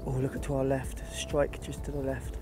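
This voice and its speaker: A man guiding a beginner through fishing by a lake, giving quick, repeated instructions. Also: mid-range voice, monotone, music in the background. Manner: urgent, repetitive instructions. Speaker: fishing guide. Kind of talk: on-the-spot coaching. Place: outdoors by a lake.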